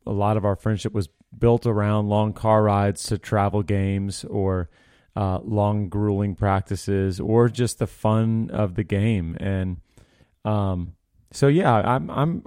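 An American man in his thirties co-hosting a podcast, chatting casually and haltingly as he thinks aloud. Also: lowish voice, steady tone, warm tone, no room sound, studio quality. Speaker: podcast co-host. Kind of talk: casual conversational podcast discussion.

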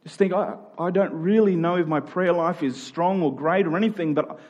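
A man's voice: sits high, moderate pace, dull audio. A man preaching in a conversational, earnest and self-deprecating way, thinking aloud and repeating phrases as he goes. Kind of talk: conversational sermon.

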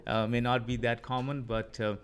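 A man in his thirties from Pakistan with a Pakistani accent, speaking haltingly with frequent fillers as a podcast interview guest. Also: mid-range voice, level tone, clear, resonant tone. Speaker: interview guest, political commentator.

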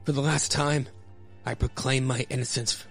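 A troubled young condemned man , played by a man, speaks in a medium-pitched, gravelly voice. He is defiant and bewildered, with earnest radio-play drama. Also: fairly even intonation, normal speed, fairly bright tone, faint music behind.

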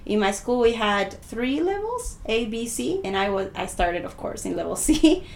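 A woman's voice: a little high, sing-song, normal speed, creaky. A woman from southern Chile, a native Spanish speaker in her thirties, chatting in an enthusiastic, extroverted way as a podcast guest. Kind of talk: casual conversational interview, personal storytelling.

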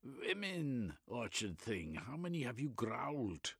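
A man voicing a flamboyant, pushy middle-aged German doctor with a German accent, excitable and lecturing. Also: mid-range voice, takes it slowly, slightly rough, no room sound, clean studio sound, voice pushed up, full character voice.

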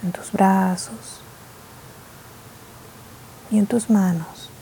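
A woman guiding a mindfulness meditation in a soft, slow, gentle way, with pauses between short phrases. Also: medium pitch, fairly even intonation, a little hiss or hum, poor audio. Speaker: meditation guide. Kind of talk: guided mindfulness meditation, scripted and instructional.

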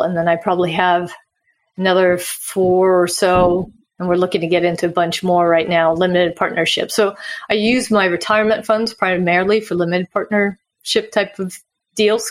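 A woman from Sweden who moved to the US as a child, explaining things conversationally as an investor guest in an interview. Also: lowish voice. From Sweden, moved to the US at nine. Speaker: podcast guest, real estate investor. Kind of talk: casual interview answers.

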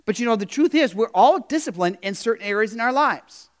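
High-voiced man preaching conversationally. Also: lively intonation, a little fast. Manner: conversational preaching with frequent "you know" and restarts; rhetorical questions to the congregation. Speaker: pastor. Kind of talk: sermon.

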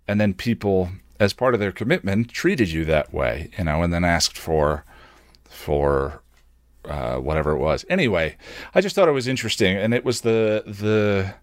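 Deep-voiced man, halting reflective monologue. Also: animated, no echo, clean studio sound. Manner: halting, self-questioning, thoughtful. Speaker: podcast host and video creator. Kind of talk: casual reflective solo monologue.

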